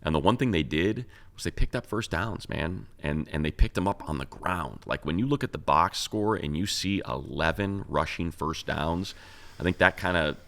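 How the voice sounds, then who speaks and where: A man's voice: sits low, lively intonation, normal speed, gravelly, a bit bright. A man co-hosting a sports show, breaking down football in a casual, conversational way with repeated words for emphasis. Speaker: sports show co-host and analyst. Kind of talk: casual sports talk analysis.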